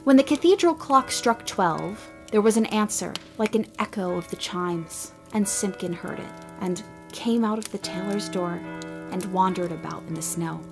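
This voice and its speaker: A woman tells a storybook tale in a gentle, expressive voice at a middle pitch. Music plays clearly underneath her narration throughout. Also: normal speed. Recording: music behind the voice.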